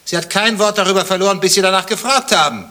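A man's voice: high-pitched, fast, bright, forceful tone. An actor playing a sardonic, probing male skeptic in a radio play, questioning with dry sarcasm. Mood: insistent, accusing. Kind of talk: German radio play dialogue acting.

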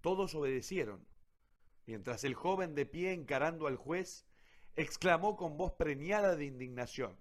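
A man's voice: fairly high pitch, expressive, moderate pace. Man narrating a dramatic radio play.

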